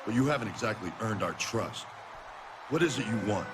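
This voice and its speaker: A fairly deep-voiced actor as a male wrestler in a video game scene. He sounds guarded and distrustful, with clear noise in the background. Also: expressive, a little fast, close to an everyday voice. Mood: wary, challenging. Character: wary, distrustful male wrestler, good guy. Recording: noisy ambient background.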